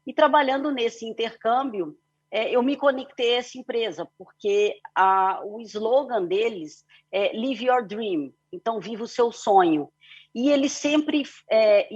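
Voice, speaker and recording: A woman's voice: on the high side, measured pace, husky, lo-fi sound. A middle-aged woman from Belo Horizonte with a Mineiro Brazilian accent, telling her story at length as a guest on an online panel, with many "né" fillers. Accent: Mineiro Brazilian Portuguese. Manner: long flowing telling with frequent "né" and "é" fillers. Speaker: guest speaker, company CEO.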